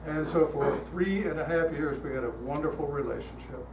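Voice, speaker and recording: An older man speaking as a guest at a church, telling stories in a relaxed, chatty way with frequent fillers, his voice calm. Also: a little high, moderate pace. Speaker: guest speaker leading a guided meditation. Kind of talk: storytelling talk to a congregation. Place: church hall. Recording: echoey hall, heard from a distance, noisy.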